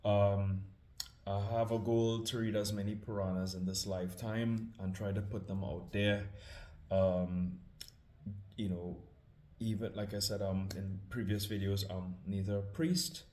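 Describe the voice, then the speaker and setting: A man with a Trinidadian accent, hosting scripture readings, speaking in a casual, hesitant, humble way with many pauses and fillers. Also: on the low side, little pitch movement, a little mellow, reverberant. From Trinidad and Tobago. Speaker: scripture reader. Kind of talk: casual spoken introduction.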